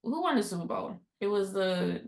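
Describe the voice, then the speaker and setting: A woman's voice: mid-range voice, animated, moderate pace, gravelly, roomy sound. A woman hosting a casual podcast interview, speaking in a conversational, supportive way with trailing sentences. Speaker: podcast host.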